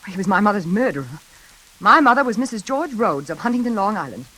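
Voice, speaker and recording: An actress in a 1940s radio suspense drama playing an anxious, frightened woman in her thirties, speaking haltingly and dramatically. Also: mid-range voice, very animated, a bit bright. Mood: bitter, insistent. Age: 30s. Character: frightened single woman haunted by her mother's killer. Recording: old radio recording, faint music behind.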